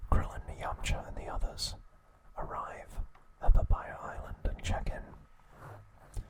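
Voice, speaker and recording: A man whispering softly and deliberately in short phrases, narrating a recap in ASMR style with a breathy, unvoiced voice. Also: low-quality recording. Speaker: host of an ASMR channel.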